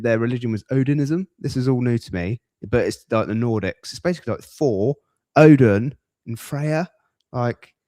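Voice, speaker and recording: A man's voice: mid-range voice, dark tone, dry recording, clean studio sound. A man hosting a podcast in a casual, rambling way, often breaking off into asides. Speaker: podcast host. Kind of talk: casual reaction chat.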